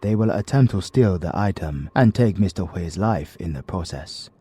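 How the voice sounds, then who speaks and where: A man's voice: low-pitched, expressive, moderate pace, mellow, husky, dry recording. A man with a British accent voicing a firm, emphatic male associate of a crime boss. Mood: stern.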